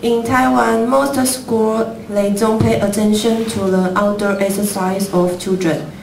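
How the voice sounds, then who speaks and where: A woman delivers a prepared student presentation in a steady, recited way. She is heard from some distance. The room has a noticeable echo and faint background noise. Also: mid-range voice, unhurried, gravelly.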